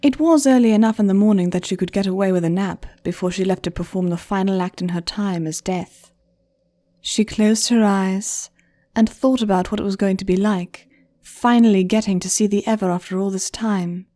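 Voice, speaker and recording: A woman narrating a scripted story in a measured, gentle storytelling manner. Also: mid-range voice. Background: faint music.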